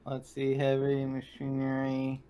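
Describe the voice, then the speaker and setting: A man's voice: mid-range voice, completely flat delivery, a little slow, a crowd in the background, rough recording. A man playing a tabletop roleplaying game, talking casually with the group about his moves.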